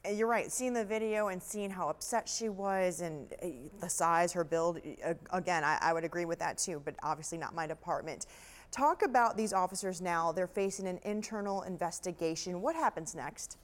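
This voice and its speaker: A woman's voice: lowish voice, normal speed. A woman news anchor presenting and interviewing in a broadcast style.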